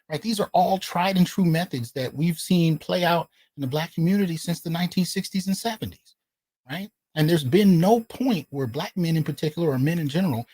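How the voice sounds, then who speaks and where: Husky guy hosting, dry close sound, keeps going "right?". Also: on the high side, a little mellow, no room sound, low-quality recording. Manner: emphatic, often asks "right?". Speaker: host. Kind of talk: interview.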